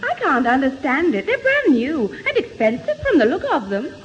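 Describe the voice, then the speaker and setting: A needling, sharp-tongued teenage girl, high-voiced and extremely animated. It is sarcastic radio sitcom acting on a muffled old recording. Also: normal speed. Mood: puzzled.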